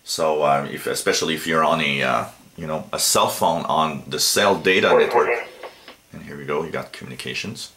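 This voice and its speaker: A man giving a casual, unscripted app walkthrough as a reviewer, with halting, stop-start phrasing. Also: very deep voice, a bit bright. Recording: roomy, echoey home recording.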